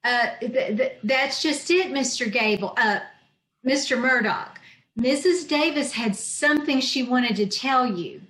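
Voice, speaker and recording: Woman acting a wry, slow-talking lady, echoey. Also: high-pitched, fairly bright tone. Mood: tongue-tied. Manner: dry, deliberate, stammering when flustered. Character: wry, bossy younger woman, easily smitten. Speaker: amateur radio troupe actor. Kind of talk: old time radio comedy acting. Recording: roomy echo on video call.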